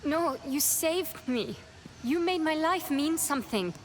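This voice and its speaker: An actress playing an earnest, devoted young heroine in a video game cutscene, emotional and pleading. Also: female voice, high-pitched, lively intonation, measured pace, a little hiss or hum. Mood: tearful reassurance.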